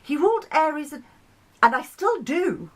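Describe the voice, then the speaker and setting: A woman voicing a resentful, jealous male planet in a storybook, insistent and quick to defend himself. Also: sits high, big pitch swings, a bit bright, voice pushed up. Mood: defensive.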